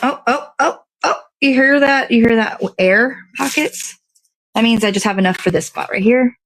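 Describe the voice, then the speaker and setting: A woman's voice: fairly high pitch, normal speed, fairly bright tone. A woman hosting a casual hands-on cake decorating demo, talking to viewers and half to herself in short fragments as she works. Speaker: show host.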